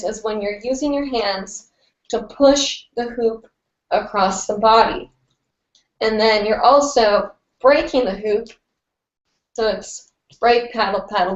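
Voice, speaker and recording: A woman's voice: on the high side, unhurried, highs cut off. Chatty young woman with stuffy nasal voice. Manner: chatty, rambling, with many fillers. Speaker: hooping instructor answering viewer questions. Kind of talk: casual live-stream Q&A.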